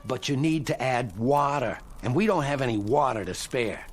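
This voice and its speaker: A man's voice: mid-range voice, expressive, moderate pace, natural voice. An actor playing a cocky, greedy, streetwise crook in a radio drama, with colloquial tough-guy talk and a mocking, needling manner. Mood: sly. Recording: music under the voice.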